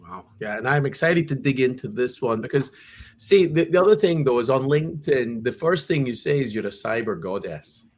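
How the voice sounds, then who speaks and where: A man hosting a podcast, asking questions in a relaxed way with frequent restarts and "uh.". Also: medium pitch, moderate pace. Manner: conversational, with repeated restarts and "uh" fillers. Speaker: podcast host. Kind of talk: casual interview.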